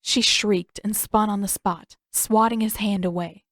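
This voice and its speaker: A woman reading scripted fiction aloud as an expressive narrator. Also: mid-range voice, measured pace, no echo. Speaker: podfic narrator.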